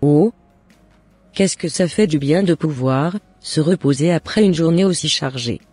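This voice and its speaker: Man voicing a mellow, higher-pitched troubled mother. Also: measured pace, breathy edge, dry recording. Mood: weary. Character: remorseful mother, weary and frightened, seeking God's forgiveness. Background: faint music.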